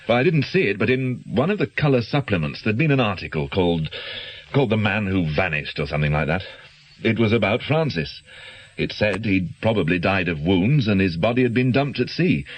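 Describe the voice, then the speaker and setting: An actor playing an anxious, guilt-ridden doctor in a radio drama, speaking in hesitant, defensive, educated phrases. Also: male voice, lowish voice, expressive, normal speed, lightly acted. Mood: uneasy, confessional. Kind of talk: naturalistic radio drama acting.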